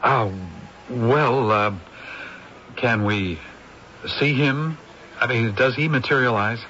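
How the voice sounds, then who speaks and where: Excitable, bright-voiced film director. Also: male voice, mid-range voice, slow pace. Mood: doubtful. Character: eager, money-minded male film director, Rex Blake. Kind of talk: vintage radio drama acting. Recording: dull, slightly noisy old radio recording.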